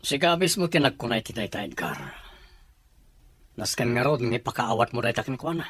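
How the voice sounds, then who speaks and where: A man playing the authoritative chief of an armed band in an Ilocano audio drama, husky, measured and commanding. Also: medium pitch, very animated, a bit bright, slight background noise.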